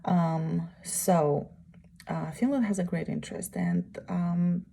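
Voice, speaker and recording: Woman in her 30s, low gravelly voice, halting presentation, keeps pausing for words. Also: mellow. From Uzbekistan. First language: Russian. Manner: halting, searching for words, frequent pauses. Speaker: student presenting a course project. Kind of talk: unscripted spoken presentation.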